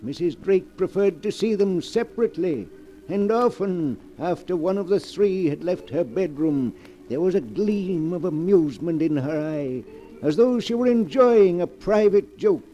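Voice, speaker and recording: A man's voice: sits high, expressive, a little slow, warm tone, dull audio. A man narrating a 1940s radio mystery drama as its host, on an old recording. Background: music.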